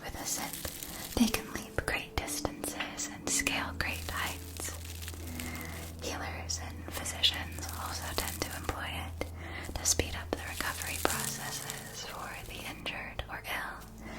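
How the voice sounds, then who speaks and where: A woman playing a kindly potion-supply shopkeeper in a scripted fantasy ASMR roleplay, speaking in a soft, breathy whisper with deliberate, gently explanatory delivery. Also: lightly acted, low-quality recording. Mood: soothing, helpful. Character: kindly female shopkeeper of magical witch-school potion supplies.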